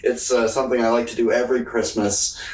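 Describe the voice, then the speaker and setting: A man's voice: medium pitch, little pitch movement, fast, crowd noise. A man co-hosting a podcast in casual comedic banter, irreverent, joking and sweary. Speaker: podcast co-host. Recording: roomy, echoey, distant from mic.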